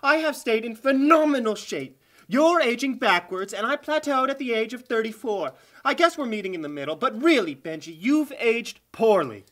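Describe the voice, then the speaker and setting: A high-voiced female puppet character acted by a woman for a comic parody. She is chatty and forward, sweet on the surface and blunt underneath. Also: lively intonation, normal speed, fairly bright tone. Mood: bluntly teasing. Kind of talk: sock puppet parody acting.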